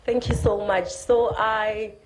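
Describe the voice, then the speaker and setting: A woman's voice: medium pitch, level tone, slow pace. A woman with a South African accent introducing herself on stage as an entrepreneur at an event. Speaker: programme beneficiary, entrepreneur. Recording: noisy event microphone with clicks.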